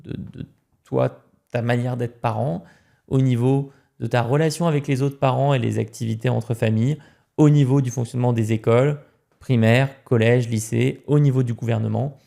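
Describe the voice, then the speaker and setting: Gravelly, mellow French-speaking man. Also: mid-range voice, fairly even intonation, moderate pace. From France. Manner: emphatic, repeats words when starting a point. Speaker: guest. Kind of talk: casual podcast conversation.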